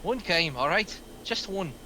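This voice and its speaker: A man's voice: high-pitched, expressive, unhurried, bright, forceful tone, slight background noise, heavily acted, rough recording. A man playing an anxious, foul-mouthed man on a stakeout in a script reading, with an urgent, exasperated manner. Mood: tense, reluctant. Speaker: actor at a table reading.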